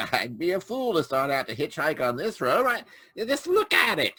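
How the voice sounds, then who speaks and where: A man puts on a talkative country pump attendant for a vintage radio play. His voice is forward and bright, swinging widely in pitch. The sound is close and dry, the manner folksy and colloquial. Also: huge pitch swings, normal speed, slightly rough, no room sound, exaggerated voice. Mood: incredulous, chatty. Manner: folksy, colloquial, easygoing small talk. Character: folksy, chatty male rural gas station attendant. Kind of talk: 1940s radio mystery acting. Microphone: remote video call.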